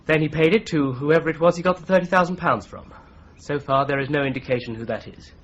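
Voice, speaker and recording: An actor playing a polite, methodical young law clerk who presses his points in a calm, persistent way, in a radio drama. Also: on the high side, quick pace. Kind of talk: 1970s radio drama acting. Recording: slightly dull broadcast recording.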